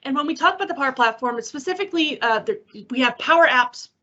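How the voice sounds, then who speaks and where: A woman giving a software demo in a conversational way, explaining things with examples and rhetorical questions over an online meeting. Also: sits high, lively intonation, quick pace. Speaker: technical specialist presenting a software demo. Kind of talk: webinar demo and question answering. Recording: dull, lo-fi online call audio.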